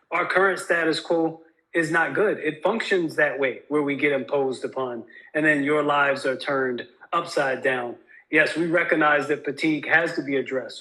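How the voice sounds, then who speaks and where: A man with a fairly high, bright and tense voice addresses union members. He speaks as a leadership candidate delivering a campaign message, earnest and insistent in his delivery. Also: poor audio.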